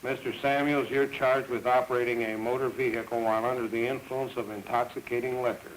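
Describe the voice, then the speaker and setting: A man's voice: medium pitch, little pitch movement, a little fast, rough recording. A man speaking as a judge in a stern, official, formal courtroom manner. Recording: vintage film sound, faint noise.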